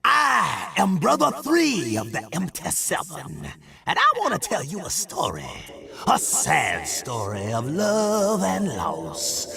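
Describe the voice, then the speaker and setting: An actor playing a grandiose, sorrowful cult brother who narrates a legend in a video game, theatrical and dramatic. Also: male voice, on the high side, wildly swooping pitch, slow pace, creaky, full character voice. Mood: grand, dramatic. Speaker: storyteller narrator. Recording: narration over loud music.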